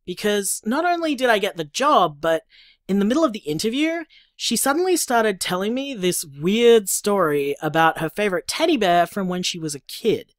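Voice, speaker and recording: A woman's voice: mid-range voice, lively intonation, moderate pace, no echo, clean studio sound, close to an everyday voice. A woman with an Australian accent playing an upbeat, teasing young partner in a naturalistic audio drama, breezy and chatty. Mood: excited. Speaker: podcast drama actor.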